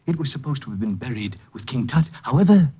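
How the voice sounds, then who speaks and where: An actor playing a jumpy, frightened little man in a nightshirt, nervous and excitable in a 1950s radio drama, on a muffled old recording with music. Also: mid-range voice, fast talker, breathy edge. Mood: earnest. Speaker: client.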